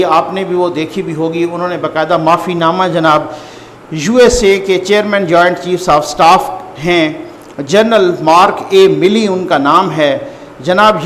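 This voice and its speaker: A man with a Pakistani accent speaks in a high-pitched voice as an informal political news commentator. He is conversational and repeats phrases to stress them. The room is very echoey, with faint noise behind him. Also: fairly bright tone.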